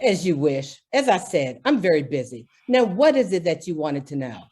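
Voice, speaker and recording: A woman's voice: low voice, very animated, normal speed, darkish tone, slightly rough, full character voice. A woman playing a brusque, self-important male store floor walker in a radio drama, curt and haughty. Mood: curt impatience. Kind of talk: old-time radio drama acting.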